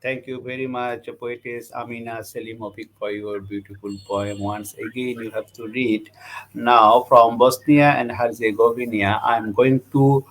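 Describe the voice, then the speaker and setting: A man's voice: medium pitch, steady tone, unhurried, rough recording. A man hosting a poetry program, formally introducing each poet and thanking them. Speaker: program host.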